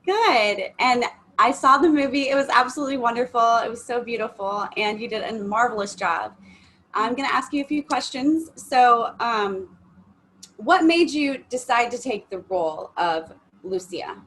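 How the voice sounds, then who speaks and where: Woman interviewer, polite and enthusiastic, fairly high voice, faint background hiss. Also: expressive, moderate pace, fairly bright tone, rough recording. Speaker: interviewer, editor. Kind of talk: friendly interview questions.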